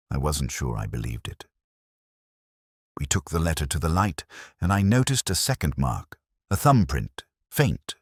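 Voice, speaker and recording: Male narrator for literary mystery fiction; very deep, expressive pitch movement, measured and reflective delivery. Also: slightly rough, no echo, clean studio sound. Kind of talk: first-person scripted detective story narration.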